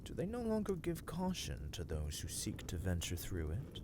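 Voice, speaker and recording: A man playing an ancient vampire lord in a dark fantasy ASMR roleplay, imperious and coldly courteous, with theatrical, archaic phrasing that often falls into whispers. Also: lowish voice, huge pitch swings, moderate pace, background noise. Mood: haughty. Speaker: voice actor in audio roleplay.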